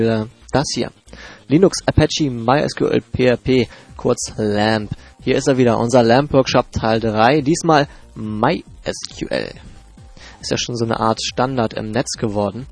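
A man's voice: mid-range voice, moderate pace, a little mellow, soft background music. A man with a stuffy cold hosting a podcast in a chatty, jokey way with loose fillers. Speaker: podcast host. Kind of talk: casual podcast presenting. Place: home in Hamburg.

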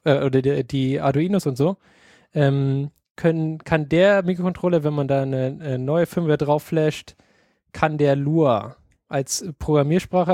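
A man with a slightly high voice talks in a loose, slangy way and swears offhandedly. The sound is dry and close, with almost no room in it, and he is on a remote podcast call. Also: dark tone, no echo. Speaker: podcast co-host. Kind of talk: casual tech chat.

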